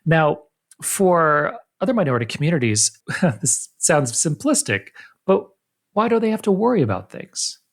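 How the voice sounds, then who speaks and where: A man hosting an interview podcast, talking in a lively way, jumping up in pitch to stress points. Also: vocal fry. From United States. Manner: animated, pitch jumps up to stress points, quick asides. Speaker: podcast host. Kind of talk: casual interview.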